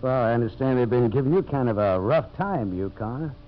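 An actor playing a slick, fast-talking promoter and boss with a commanding salesman's patter, in 1950s radio drama style. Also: mid-range voice, expressive, faint music behind, lightly acted. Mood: smooth, scheming. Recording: dull, hissy 1950s radio broadcast recording.

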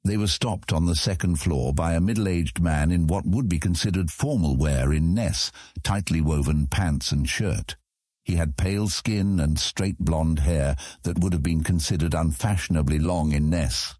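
A man's voice: sits low, normal speed, darkish tone, slightly rough, no room sound, studio quality. A man reading scripted fantasy narration as an audiobook narrator.

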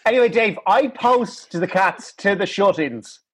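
A man's voice: high voice, lively intonation, normal speed, husky. A man playing a shifty, evasive small-time businessman on a comedy call-in. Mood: sheepish. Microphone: video call.

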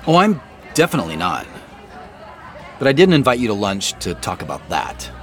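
A man's voice: on the low side, wildly swooping pitch, normal speed, faint music behind, a faint crowd. An actor playing an earnest, probing male boss in naturalistic audio drama, speaking in an insistent, questioning, conversational way. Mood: guarded.

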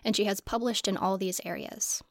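A woman's voice: mid-range voice, fairly even intonation, normal speed, dry recording, studio quality. A woman co-hosting an academic podcast, giving scripted introductions.

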